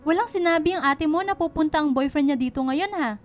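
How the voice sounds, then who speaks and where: Woman acting stern, high-voiced Filipino mother. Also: fast talker, faint music behind, close to an everyday voice. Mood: stern, suspicious. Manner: scolding, questioning, maternal. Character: stern but caring working mother. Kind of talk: Tagalog radio drama acting.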